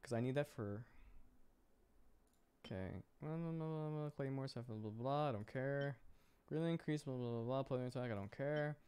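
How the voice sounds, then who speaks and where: A man's voice: medium pitch, moderate pace, a little mellow, clear, resonant tone. A man chatting casually on a game livestream, rambling and often repeating his words as he reacts to viewers. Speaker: game streamer.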